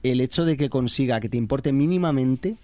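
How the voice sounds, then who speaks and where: A man's voice: mid-range voice, brisk, a little mellow, muffled recording. A man, an opinionated panelist on a radio chat show.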